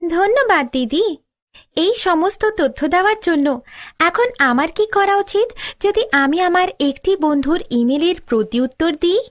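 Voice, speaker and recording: A woman plays a curious young cartoon student with a very high, thin-set voice and a polite, questioning manner. The sound is dry and close, as in a scripted tutorial. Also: lively intonation, normal speed, dry recording. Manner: polite, inquiring. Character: curious, polite young student asking an older sister for help.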